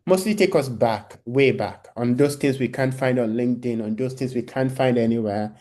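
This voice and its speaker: A male podcast host with a dark, mellow voice at an ordinary pitch. He speaks casually, as in a relaxed interview conversation. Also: normal speed.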